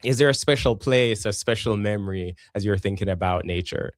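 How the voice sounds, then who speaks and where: A man's voice: on the low side, a little fast, dry recording, studio quality. A man hosting an interview show, welcoming his guest and asking curious questions over a video call. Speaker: podcast host.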